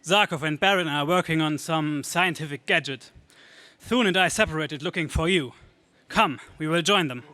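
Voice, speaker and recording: Guy doing brave space hero from an old radio serial, earnest, forceful bright voice, really dry sound. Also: a little high, normal speed, no echo. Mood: resolute. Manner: earnest, declamatory. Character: gallant, brave young space-adventure hero. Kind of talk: 1930s radio serial acting.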